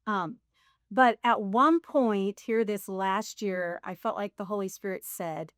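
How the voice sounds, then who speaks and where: A woman's voice: medium pitch, takes it slowly, no room sound, studio quality. A woman in her sixties sharing her faith story in a casual conversation, rambling and laughing at herself. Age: 60s. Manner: rambling, self-deprecating, laughs often. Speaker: guest.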